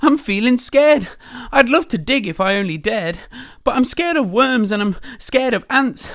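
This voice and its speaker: A woman's voice: on the high side, expressive, moderate pace, pitched above the performer's own voice, natural voice. A woman voicing a timid, wimpy male cook who is scared of everything, fretful and wailing, in a children's storybook. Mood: panicky, scared. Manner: fretful, wailing delivery with repeated pleas.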